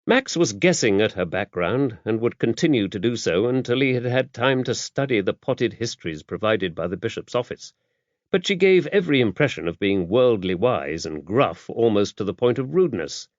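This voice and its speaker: A man's voice: fairly low pitch, very animated, moderate pace, no room sound, lo-fi sound. A man reading scripted mystery fiction as an audiobook narrator.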